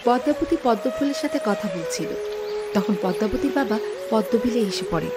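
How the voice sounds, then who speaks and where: A woman's voice: fairly high pitch, lively intonation, moderate pace, over loud music. A woman reading scripted story narration as the narrator of a tale.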